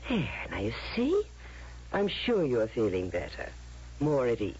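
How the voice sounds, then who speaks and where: An actress playing a composed, controlling rest-home attendant in a vintage radio drama, formal and gently coaxing. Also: female voice, extremely low pitch, wildly swooping pitch, unhurried, breathy edge, exaggerated voice. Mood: soothing, controlling. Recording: dull vintage radio recording.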